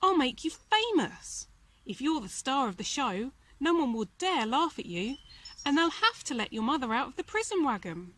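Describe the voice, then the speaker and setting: A plucky little circus mouse, played by a woman reading a storybook, speaks in a high, excitable voice. He is an eager, loyal friend who exclaims and encourages. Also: normal speed. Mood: eager, reassuring.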